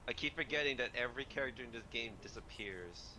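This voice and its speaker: A man's voice: medium pitch, expressive, fast, background noise. A man chatting casually with friends while gaming, with a laid-back, chill way of talking. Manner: laid-back, calls his own voice chill.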